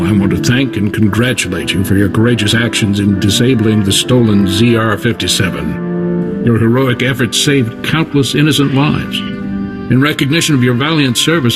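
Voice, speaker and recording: Formal army general done by a male actor, tired but in charge, measured talk, game cutscene with music behind. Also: mid-range voice, lightly acted. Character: authoritative, weary male military general. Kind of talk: video game voice acting.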